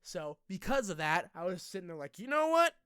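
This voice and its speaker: A young man talking informally to his subscribers in a personal vlog, halting and rambling in a colloquial way. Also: high voice, very animated, a bit bright, clean tone, no echo, studio quality. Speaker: online video creator.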